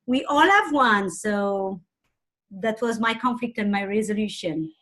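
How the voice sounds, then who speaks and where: A woman's voice: medium pitch, a little slow, a bit bright. A woman with a French accent sharing a short personal story as a participant in an online webinar, heard over a video call.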